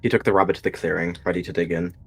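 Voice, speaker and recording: A man's voice: deep voice, little pitch movement, very fast, breathy edge, faint music behind. A man narrating a dramatic roleplay story as a storyteller.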